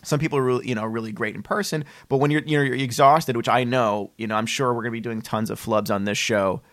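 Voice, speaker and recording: A man's voice: medium pitch, fairly even intonation, fast talker, fairly bright tone, no echo. A man co-hosting a podcast interview in a casual, affable and rambling conversational way.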